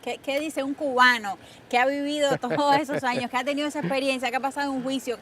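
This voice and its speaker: A woman hosting an interview, teasing her guest with quick playful remarks. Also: high-pitched, steady tone, a bit bright, a little hiss or hum. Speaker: host.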